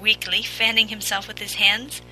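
A woman's voice: medium pitch, little pitch movement, moderate pace, pushed and bright, a little hiss or hum, poor audio. A woman reading a scripted fan fiction story as its narrator.

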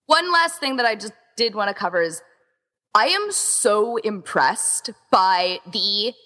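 A woman's voice: medium pitch, sing-song, unhurried, sharp tone. A woman chatting casually as an interview guest, in an informal, gushing, emphatic way, with lots of filler "like". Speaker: podcast interview guest, event organizer. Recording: long reverberant echo.

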